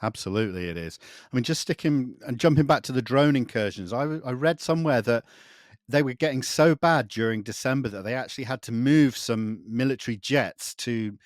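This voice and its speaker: A man's voice: mid-range voice, brisk. A man hosting a podcast interview in a conversational, agreeable way, affirming what is said and starting some thoughts hesitantly. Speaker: podcast host.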